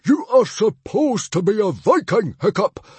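A huge, pompous Viking chief from a storybook, high and strained in pitch with a husky edge. The delivery is slow and blustering, drawing out each indignant word. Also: male voice, sing-song, dry recording, heavily acted. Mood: furious, bellowing. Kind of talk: character voice in storybook narration.

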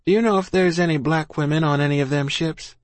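A man's voice: a little high, fairly even intonation, quick pace, no room sound, voice pushed up, close to an everyday voice. A man voicing a frightened, polite young girl disguised as a boy, with rustic, deferential speech. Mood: timid, polite.

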